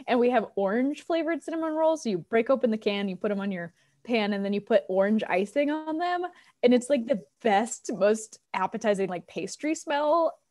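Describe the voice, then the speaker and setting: An American woman in her thirties talking casually and informally as an interview guest, chatty and animated, on a video call. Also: fairly high pitch, normal speed. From United States, Colorado. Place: living room on a video call. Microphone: wired earbuds on a video call.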